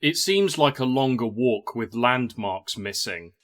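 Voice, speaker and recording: A man's voice: mid-range voice, lively intonation, unhurried, fairly bright tone. A man reading scripted narration for an audio drama.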